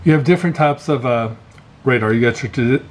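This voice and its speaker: A man giving a casual, unscripted hands-on gear walkthrough as a vlogger, pausing often mid-phrase. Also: medium pitch. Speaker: vlogger showing fishing gear. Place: indoor garage workshop. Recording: steady background noise, room ambience.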